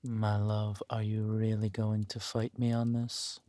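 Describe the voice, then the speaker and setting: A man playing a menacing, possessive villain warlord and protective lover in a scripted roleplay audio drama, speaking deliberately and commandingly, dropping into breathy murmurs. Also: fairly low pitch, monotone, warm tone, dry recording, lightly acted. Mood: earnest, protective.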